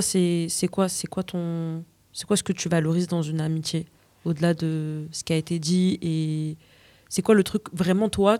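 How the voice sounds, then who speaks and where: Host, adult woman, mellow low voice, thoughtful and halting. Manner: hesitant, reflective, reformulating. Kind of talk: casual discussion.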